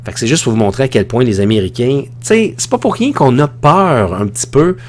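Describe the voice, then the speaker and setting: A male high school teacher speaks with a Quebec French accent at a medium pitch. He delivers his online lesson informally, as if in conversation. He readily gives his own opinions and wanders into asides. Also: very animated, brisk, darkish tone. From Quebec, Canada.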